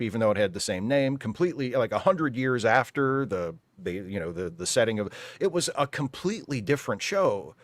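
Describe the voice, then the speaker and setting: A man's voice: medium pitch, dry recording. A man giving opinions in a casual panel discussion, emphatic and often rephrasing as he argues. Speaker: panel guest on a live fan show.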